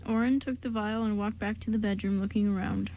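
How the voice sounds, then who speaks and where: A woman's voice: mid-range voice, steady tone, a little fast, lo-fi sound. A woman reading scripted story narration for an amateur radio drama.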